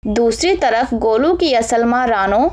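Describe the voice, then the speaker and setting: Female cartoon narrator, scripted storytelling, high register, unhurried pace. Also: lively intonation, darkish tone.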